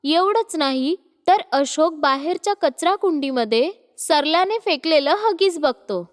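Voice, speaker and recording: A woman narrating a cartoon story in a slow, even storytelling manner. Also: high voice, big-room sound.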